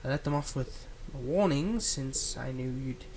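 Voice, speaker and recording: Casting: male actor as possessive supervillain for ASMR drama, mid-pitched, coaxing and deliberate, some background noise. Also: a little mellow, natural voice. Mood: gentle, reassuring. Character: manipulative, soothing male supervillain, tenderly possessive of his captive. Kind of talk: ASMR roleplay acting.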